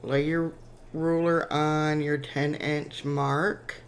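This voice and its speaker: A woman with a raspy, hoarse voice from a cold, hosting a chatty step-by-step crafting tutorial with frequent ums. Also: deep voice, flat delivery, slow pace, slight background noise.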